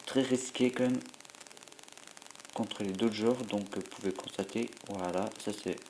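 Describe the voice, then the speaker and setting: A man's voice: lowish voice, steady tone, unhurried, a little mellow, poor audio. Stuffy sick guy rambling over his game, lots of umms, tired, faint hiss behind. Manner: rambling, hesitant, full of filler sounds. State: has a cold, stuffy; tired from a sleepless night. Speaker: YouTuber playing a mobile game. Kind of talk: gameplay commentary.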